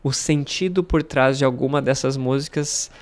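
A man's voice: medium pitch, moderate pace. A Brazilian man in his thirties, a language teacher, chatting animatedly to camera from a room at home into a studio mic. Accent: Brazilian Portuguese. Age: 30s. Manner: animated, gestures a lot with both hands, laughs between phrases. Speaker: language teacher and channel host. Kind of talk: casual talking-head vlog. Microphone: studio mic on a stand in frame.

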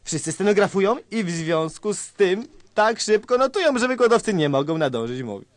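A man acts out an exasperated colonel in a satirical radio sketch. The voice is high and swings wildly, recorded dry. He gushes with heavy sarcasm and theatrical emphasis. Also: expressive, brisk, no room sound. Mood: mounting horror. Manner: theatrical, emphatic, repeating words in mock praise. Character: exasperated, agitated male police colonel, sarcastically gushing. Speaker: sketch performer.

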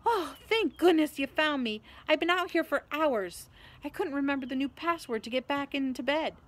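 A woman's voice: high voice, moderate pace, a bit bright, voice pushed up. A woman voicing a timid, anxious little schoolboy in a storybook, plaintive and pleading. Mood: relieved, plaintive. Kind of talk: audiobook-style character voice.

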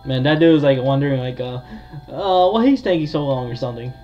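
A man giving casual, hesitant gameplay commentary with frequent pauses. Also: mid-range voice, warm tone, faint music behind. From United States. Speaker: gamer, video creator. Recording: dull lo-fi mic, faint noise.

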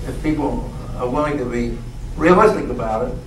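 An older man speaking as guest on a stage, slow, halting and thoughtful, with many pauses and fillers, into a handheld mic in a lecture hall. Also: mid-range voice, fairly bright tone. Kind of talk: conversation on stage. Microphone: handheld mic, recorded from far. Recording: roomy hall audio from far off, noisy.

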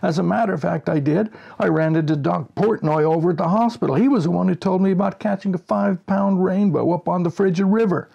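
A man voicing an eager, fishing-mad friend shouting excitedly on a phone call in a humorous story. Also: high-pitched, fairly quick, gravelly, hardly any pauses, husky, pitched above the performer's own voice, exaggerated voice.

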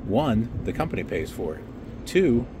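Middle-aged guy ranting sarcastic into his phone outside, low voice, traffic noise behind. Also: sing-song, normal speed. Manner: sarcastic, rambling, many fillers. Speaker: trucking vlogger. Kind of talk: casual outdoor vlog rant. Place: outdoors by a block wall. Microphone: phone at arm's length.

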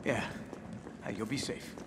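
A man's voice: unhurried, natural voice. Man acting a gutsy soldier amid noise. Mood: reassuring, sincere. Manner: casual, swearing, reassuring. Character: gutsy, loyal, foul-mouthed male soldier. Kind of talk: video game cutscene acting.

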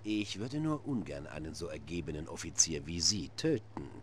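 A man's voice: a bass voice, animated, normal speed, background noise. A male actor playing a middle-aged Soviet dictator in a game cutscene, coldly cruel and threatening. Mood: quiet menace. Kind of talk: video game cutscene acting.

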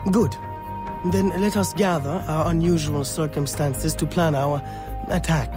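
An actor voicing an earnest young gentleman conspirator in a video game, formal and deliberate in his speech. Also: on the high side, fairly even intonation, over music, close to an everyday voice. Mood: scheming. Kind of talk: video game dramatic dialogue.

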